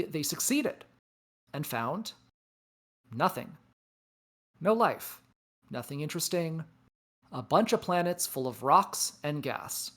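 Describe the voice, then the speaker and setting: A performer in a table read playing a weary, knowing space probe, speaking in a measured, deliberate, philosophical way. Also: mid-range voice. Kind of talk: table read of science fiction script. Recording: music behind the voice. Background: music.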